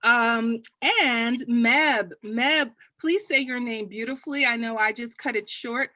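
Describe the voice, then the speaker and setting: A woman's voice: on the high side, slow, pushed and bright, no room sound. A woman with a Texas accent moderating a panel discussion, upbeat and cheering on her guests.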